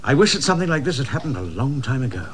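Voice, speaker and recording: A man's voice: mid-range voice, big pitch swings, very fast, close to an everyday voice. An actor playing a suave imprisoned villain in a 1970s television drama, silky and mock-polite as he feigns remorse. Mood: mock contrite. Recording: noisy old television soundtrack.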